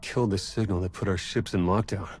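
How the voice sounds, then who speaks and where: An actor playing a quick-witted, wry male tech operative in a video game, with an urgent edge. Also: low voice, steady tone, a little mellow, soft background music, close to an everyday voice. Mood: urgent, focused. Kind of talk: video game cutscene acting.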